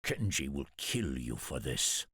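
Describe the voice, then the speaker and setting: Slow, husky, stern warrior. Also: male voice, rumbling low, sing-song, fairly bright tone, dry recording, studio quality, heavily acted. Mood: defiant, firm. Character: loyal, stern male warrior lieutenant, stubborn and earnest. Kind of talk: video game cutscene acting.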